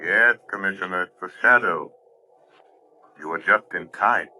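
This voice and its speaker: An actor playing a mysterious, commanding crime-fighting avenger in an intense, emphatic 1930s radio drama style. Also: male voice, low voice, unhurried, pushed and bright, a little hiss or hum, exaggerated voice. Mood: solemn. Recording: vintage 1930s radio broadcast.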